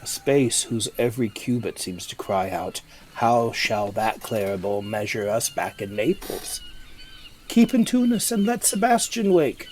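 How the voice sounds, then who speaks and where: A man's voice: medium pitch, darkish tone, a little hiss or hum, close to an everyday voice. A man playing a scheming, ambitious usurping nobleman in a Shakespearean audio drama, with an insinuating, rhetorical delivery. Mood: sly, tempting. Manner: insinuating, rhetorical, deliberate emphasis. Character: scheming, ambitious usurping nobleman tempting his companion to murder. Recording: remote recording with music underneath.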